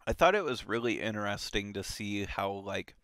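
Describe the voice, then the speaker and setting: Man, casual book talk, bright tone, crisp and dry, unhurried. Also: lowish voice, no echo. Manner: animated, lots of fillers and restarts. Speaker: book club podcast host.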